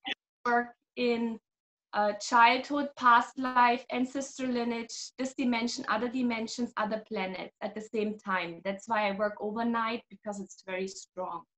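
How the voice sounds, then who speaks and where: A high-pitched woman in her thirties, originally from Germany, speaking on a video call from a small home room. She talks animatedly as a guest explaining her healing work, often breaking into laughs. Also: fairly even intonation, measured pace, fairly bright tone, rough recording. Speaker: guest, energy healer. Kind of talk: casual video-call interview.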